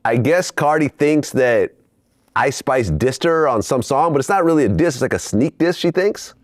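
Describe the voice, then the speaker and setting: A man's voice: medium pitch, animated, moderate pace, no echo, clean studio sound. A man hosting a casual interview, laying out the background in a conversational way. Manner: conversational, lays out background before asking. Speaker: interviewer, host.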